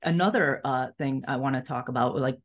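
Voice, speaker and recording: A woman hosting a podcast in a casual, conversational interview style, agreeable and halting in her phrasing. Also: rumbling low, muffled recording. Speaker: podcast host.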